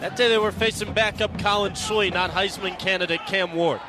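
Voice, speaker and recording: A man's voice: high voice, fairly even intonation, moderate pace, music in the background. A man giving conversational color commentary as an analyst on a football broadcast.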